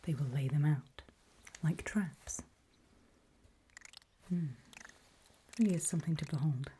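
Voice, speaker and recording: A woman performs an ASMR roleplay as a calm guide, whispering close to the microphone. Her voice is very breathy and very mellow, sitting low for a woman. She instructs deliberately, leaving pauses between short phrases.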